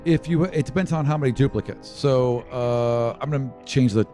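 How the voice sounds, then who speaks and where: A man with an average-pitched voice runs a tabletop role-playing game as game master. He talks casually, moving between narration and rules explanations. Music plays faintly underneath. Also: normal speed, mellow.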